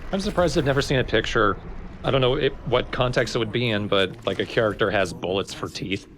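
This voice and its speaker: A man's voice: mid-range voice, fairly quick, fairly bright tone. A man co-commentating on a video game in casual chat, curious and bantering with the others. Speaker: co-commentator watching the gameplay. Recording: faint background noise.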